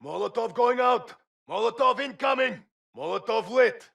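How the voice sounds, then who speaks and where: Game soldier callouts, urgent and clipped, high pitched, gritty creaky voice. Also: male voice, moderate pace, a bit bright, heavily acted. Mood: urgent, alert. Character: alert male squad soldier shouting combat callouts. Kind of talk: short scripted game combat barks.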